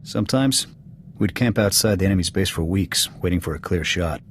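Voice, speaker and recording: A man playing a gruff veteran male sniper officer speaking in clipped, matter-of-fact reports in a video game. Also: sits low, a little fast, a little hiss or hum, natural voice. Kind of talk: video game cutscene acting.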